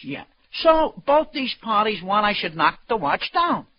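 A high, husky-voiced New auctioneer, slangy and streetwise, who swings his lines around with a wisecracker's swagger. It is old radio crime drama, heard on a dull recording. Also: big pitch swings, a little slow, heavily acted. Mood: wry, animated. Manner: colloquial slang, calls people sweetheart.

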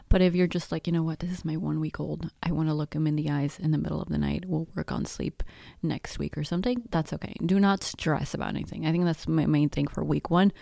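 A woman's voice: low voice, creaky, a little mellow, no room sound, clean studio sound. A woman in her thirties whose first language is Spanish, hosting a podcast and giving chatty, self-deprecating advice on baby sleep. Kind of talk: casual advice talk.

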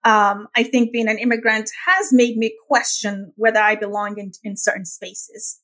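A woman's voice: on the high side, flat delivery, moderate pace, bright, forceful tone. A woman in her thirties from Nigeria with a Nigerian accent, speaking earnestly as an interview guest and often checking in with "right?". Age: 30s. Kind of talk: reflective interview talk.

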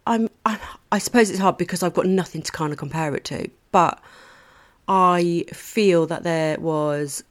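A woman in her thirties with a slightly low voice talks through her own life on a solo podcast. Her delivery is rambling and candid, full of asides and casual swearing, and she laughs at her own anecdotes. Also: a little slow. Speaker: podcast host.

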